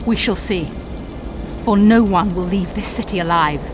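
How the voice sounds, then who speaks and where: A woman's voice: medium pitch, normal speed, noisy background, natural voice. A woman playing a bitter, menacing sorceress in a video game, with an imperious, disdainful, theatrical delivery. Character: haughty scheming sorceress, bitter, menacing and contemptuous. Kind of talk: dramatic video game acting. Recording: loud music under the voice.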